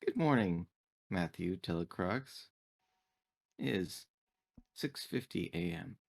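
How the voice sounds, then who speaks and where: A man's voice: sits low, expressive, slow pace, vocal fry, heavily acted. Man playing a stiffly polite female android attendant. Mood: courteous, formal. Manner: formal, stilted, precise diction.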